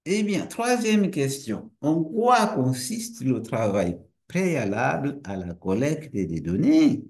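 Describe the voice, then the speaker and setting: Man, native Portuguese speaker, university lecturer, unhurried, animated intonation, rhetorical questions, restarts. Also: medium pitch, vocal fry. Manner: relaxed and friendly. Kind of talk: lecture to camera.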